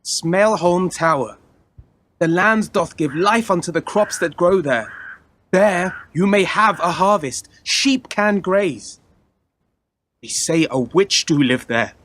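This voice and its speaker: An actor playing a dutiful, wary young son of a lord in a radio play, with formal archaic speech. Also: male voice, sits high, takes it slowly, fairly bright tone. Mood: uneasy.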